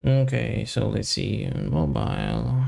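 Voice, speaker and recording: A man giving casual live coding commentary, thinking aloud as a software developer in halting, muttered fragments with long pauses. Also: fairly low pitch, huge pitch swings, creaky.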